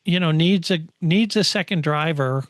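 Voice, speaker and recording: Higher-voiced man, halting casual sports chat. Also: no echo, clean studio sound. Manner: halting, searching for words. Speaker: podcast co-host discussing motorsport.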